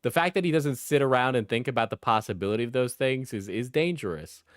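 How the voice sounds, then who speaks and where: A man's voice: mid-range voice, animated, fast talker, dry recording. Blunt, mocking male commentator, close dry sound. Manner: blunt, mocking, punctuates points with "right?". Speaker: commentator reacting to an interview. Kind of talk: casual opinionated commentary with heavy swearing.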